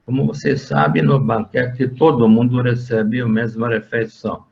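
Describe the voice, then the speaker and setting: An older man from Hungary with a Hungarian accent, giving an interview and recounting his working life, speaking haltingly with many fillers and restarts. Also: mid-range voice, fairly even intonation, creaky, a little mellow. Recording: dull lo-fi sound.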